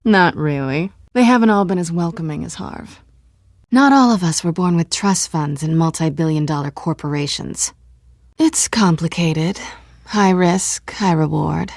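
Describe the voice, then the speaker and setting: A woman's voice: on the low side, a little slow, darkish tone, no room sound, clean studio sound. An American woman in her thirties playing a sly, self-assured cat burglar in a video game, teasing, flirtatious and sardonic. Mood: coy, sardonic.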